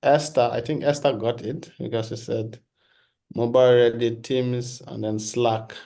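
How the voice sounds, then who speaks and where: A man's voice: mid-range voice, fairly even intonation, a little slow, gravelly, warm tone. A man on a video call, casually helping read answers from the chat.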